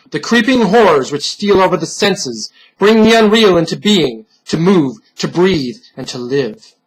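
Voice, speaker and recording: A man giving a solemn, theatrical reading of a gothic horror story, deliberate and dropping to hushed tones. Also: a little high, husky, poor audio. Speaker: storyteller, reader.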